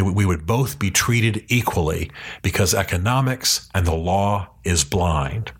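A man speaks into a podcast mic from his office, his voice a little husky. He argues with growing passion, leaning on words and slowing right down when he wants a point to land. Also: fairly low pitch. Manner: earnest, emphatic, slows down to stress key points. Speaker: podcast host.

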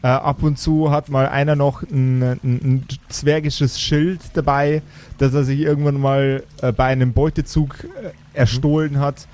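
A man running an improvised tabletop game as game master, speaking haltingly as he searches for words. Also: medium pitch, flat delivery, darkish tone.

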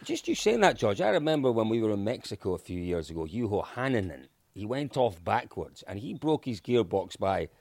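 A man's voice: fairly low pitch, sing-song, vocal fry, darkish tone, no echo. A middle-aged Scottish man from Dundee with a Dundee accent, hosting a casual rally podcast and steering the chat. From Scotland. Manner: chatty, leads the conversation, quick to agree. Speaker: podcast host.

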